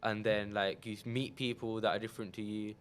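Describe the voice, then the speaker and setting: Young man, Punjabi native speaker, relaxed guest telling his story, lowish voice. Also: brisk, smooth. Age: young adult. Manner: relaxed, hesitant storytelling with fillers. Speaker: podcast guest.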